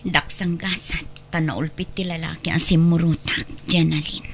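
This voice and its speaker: A woman's voice: low voice, flat delivery, moderate pace, slight background noise. A woman playing an emotional, long-suffering mother full of hurt in an audio drama. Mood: remorseful, sorrowful. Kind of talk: Ilocano audio drama acting.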